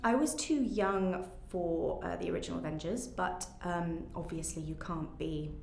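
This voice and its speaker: A woman's voice: lowish voice, normal speed, vocal fry, darkish tone, reverberant, slight background noise. A young woman with an English accent talking casually and enthusiastically in an interview as an actress. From England.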